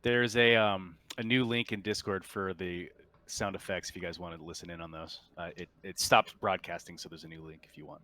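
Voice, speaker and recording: A man improvising narration as game master of a tabletop game over group voice chat, halting and often restarting his phrases. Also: sits low, steady tone, sharp tone.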